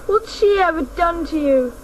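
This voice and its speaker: A woman's voice: very high-pitched, expressive, moderate pace, a little hiss or hum, low-quality recording. A woman in a radio drama playing a defiant but frightened schoolboy, blunt and childlike.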